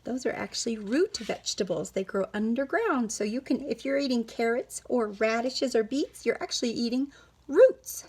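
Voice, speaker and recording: A woman reading a picture book aloud to children in an animated, teacherly way, pausing to question and explain to her listeners. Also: on the high side, breathy edge.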